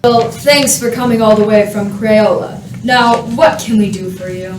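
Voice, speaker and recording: A woman's voice: mid-range voice, normal speed, a little mellow, lightly acted. A woman playing a formal, flustered diplomat and spokesperson in a comic radio play. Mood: polite. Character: formal, flustered human diplomat chairing the assembly. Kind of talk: radio play comedy acting. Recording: roomy, distant, noisy mic.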